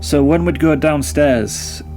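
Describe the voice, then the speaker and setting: A man's voice: fairly high pitch, slow, a little mellow. A young adult man recounts a personal ghost story in a conversational, reflective and slightly rambling way. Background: background music.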